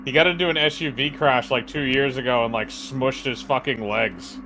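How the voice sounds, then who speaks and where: A man's voice: mid-range voice, fairly even intonation, moderate pace, bright, forceful tone, slight background noise, rough recording. A man chatting casually as a co-player in a game session, bantering along. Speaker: co-player voicing a game character.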